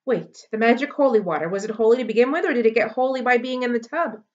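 A woman's voice: fairly high pitch, fast, darkish tone, voice pushed up, close to an everyday voice. Curious awkward boy, hesitant and questioning, read by a woman; slightly raised voice, lo-fi muffled audio.

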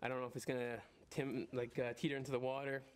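A man's voice: medium pitch, level tone, fast talker, crowd noise. A man in his thirties casually narrating a vlog outdoors, talking to viewers and calling them guys, recorded on a handheld camera mic. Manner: chatty, addresses viewers as guys. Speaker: urban explorer vlogger. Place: outdoors at an abandoned game show set. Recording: loud background noise.

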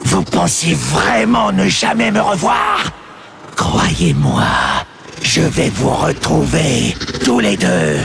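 An actor playing a cruel demon assassin who snarls and shouts threats with manic rage. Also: male voice, on the high side, big pitch swings, measured pace, creaky, heavy background noise, heavily acted. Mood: furious. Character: raging mad male demon assassin, cruel. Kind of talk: audio drama acting. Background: music.

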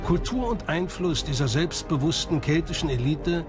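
A man's voice: on the high side, animated, normal speed. A man reading scripted documentary narration. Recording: music bed under the voice. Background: background music.

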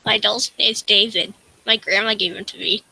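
A woman's voice: medium pitch, fairly even intonation, moderate pace, gravelly, bright, forceful tone, slight background noise, rough recording. A teenage girl reading a picture book and its dialogue aloud over a video call.

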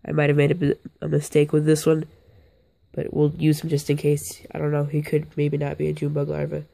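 Young male vlogger, hoarse and a bit sick, gravelly low-key voice, offhand and rambling, phone mic. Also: completely flat delivery, a little fast, warm tone. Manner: rambling, self-interrupting, offhand. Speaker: bug-keeping vlogger. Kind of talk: casual hands-on vlog. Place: indoors, on a carpeted floor.

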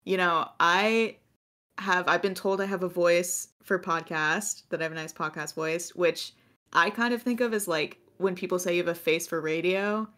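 An American woman in her twenties with a low voice, co-hosting a podcast in casual, rambling chat. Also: normal speed, bright, forceful tone. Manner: chatty, laughing often, rambling. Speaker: podcast co-host.

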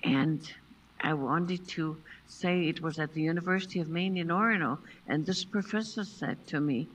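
An older woman who grew up speaking Passamaquoddy first, telling stories at a seminar and pausing to find her English words. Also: low voice, a little hiss or hum. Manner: unhurried, pauses to find English words, laughs often. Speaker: traditional basket maker, seminar guest. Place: lecture room, seated at a table with a panel. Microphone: far room camera. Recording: distant voice in a lecture room.

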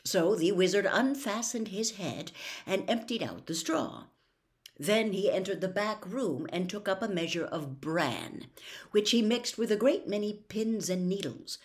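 An older woman reading a classic novel aloud at home, expressive and animated. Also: mid-range voice, unhurried. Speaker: storyteller. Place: home room with bookshelves. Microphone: webcam close up.

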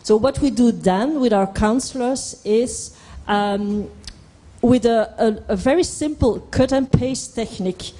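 A middle-aged Flemish woman explains her work on a conference panel, halting and repeating words for emphasis, into a handheld microphone. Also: a little high, mellow. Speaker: panelist from an employment service. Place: indoor conference hall stage.

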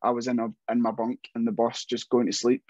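A man's voice: medium pitch, flat delivery, a little fast, a little mellow, no echo. A young man, a metal vocalist, chatting casually in an interview over a video call, rambling with many restarts and self-deprecating asides. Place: home room, indoors. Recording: dull, dry video call audio.

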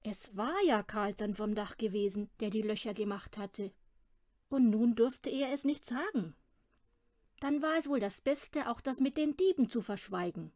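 Higher-pitched woman reading a children's story. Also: normal speed, darkish tone, no room sound, studio quality. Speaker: audiobook reader. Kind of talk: scripted children's story narration.

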